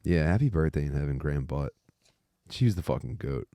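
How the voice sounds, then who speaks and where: Very deep-voiced man, casual podcast talk. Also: expressive, a little fast, mellow, no room sound, clean studio sound. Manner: informal, profane, bantering. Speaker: co-host reading aloud from a book. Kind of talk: casual podcast chat with read-aloud passages.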